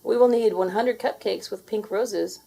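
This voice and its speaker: A woman voicing a dutiful, cautious female housekeeper in a children's storybook, measured and polite. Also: medium pitch, gravelly, mellow. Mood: businesslike. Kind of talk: storybook character voice.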